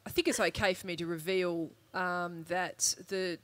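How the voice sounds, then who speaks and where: A woman's voice: lowish voice, fairly even intonation, moderate pace, no room sound. A woman moderating a festival panel in front of an audience, chatty and jokey, taking questions informally. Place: live event room with an audience.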